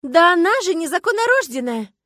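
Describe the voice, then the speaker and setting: Casting: female narrator as greedy wealthy woman, high-pitched, loud, indignant and demanding, audiobook character. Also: big pitch swings, moderate pace, dry recording, studio quality. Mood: hysterical. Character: shrill, greedy, hysterical wealthy woman heiress.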